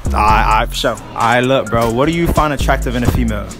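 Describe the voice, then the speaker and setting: A man's voice: mid-range voice, quick pace, music in the background. A young man conducting a casual street interview at a school, slangy and repeating "all right, look" and "you know what I'm saying," speaking into a phone. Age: young adult. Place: outdoors and in hallways at a high school. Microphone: phone held to the mouth.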